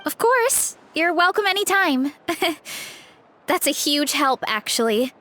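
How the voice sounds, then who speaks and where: High-voiced, eager young game heroine. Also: female voice, sing-song, slow pace, bright, forceful tone, full character voice. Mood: earnest. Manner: eager, friendly. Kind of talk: video game character acting.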